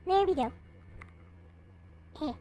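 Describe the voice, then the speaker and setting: Female streamer, high voice, unhurried pace, casual chat with viewers. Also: expressive, warm tone. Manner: chatting casually to viewers, replying to comments. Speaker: livestream host. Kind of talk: casual livestream chat showing collectible figures.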